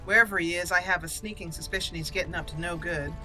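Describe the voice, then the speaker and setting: Bright-voiced woman doing playful game commentary. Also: lowish voice, steady tone, quick pace, low-quality recording. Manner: playful, thinking aloud. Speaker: gaming channel host, role-player. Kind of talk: casual let's play commentary with in-character lines. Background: faint game music.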